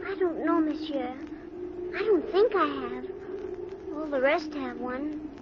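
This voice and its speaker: Casting: frightened little servant girl, very high meek voice, old radio drama, heavy recording noise. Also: slow, dull audio. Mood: meek, polite. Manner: polite, meek. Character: timid, frightened, obedient eight-year-old servant girl. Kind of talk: 1930s-40s radio drama acting.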